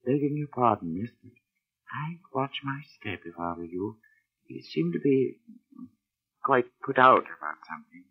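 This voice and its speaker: An actor playing a deferential household butler, formal, discreet and hesitant, in 1940s radio drama style. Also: male voice, medium pitch, very animated, moderate pace, husky. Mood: deferential, warning. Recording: muffled vintage radio recording.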